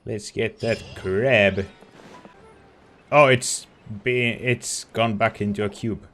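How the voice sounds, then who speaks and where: Slow, musing male let's play streamer. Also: on the low side, steady tone, a bit bright, soft background music. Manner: musing, reacting aloud in short remarks. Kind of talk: casual game commentary.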